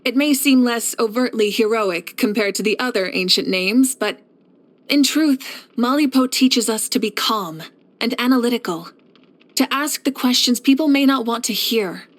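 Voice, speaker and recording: A woman voicing a steadfast, caring warrior leader in a video game, speaking in a measured, reflective and assured way. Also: a little high, close to an everyday voice. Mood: calm, assured. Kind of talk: scripted video game character voice lines.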